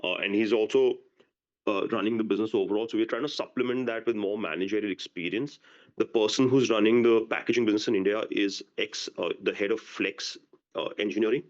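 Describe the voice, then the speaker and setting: Low-pitched man, halting, on dull call audio. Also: fairly even intonation. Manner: halting, often restarting phrases. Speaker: company executive answering on an earnings call. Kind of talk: unscripted business Q&A.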